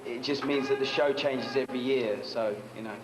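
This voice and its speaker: A man interviewing at a dance event, talking in a relaxed way with lots of fillers. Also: mid-range voice, brisk, loud music behind the voice. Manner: relaxed, filler-heavy with lots of you know. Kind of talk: casual event interview and commentary. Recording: echoey room with clear noise.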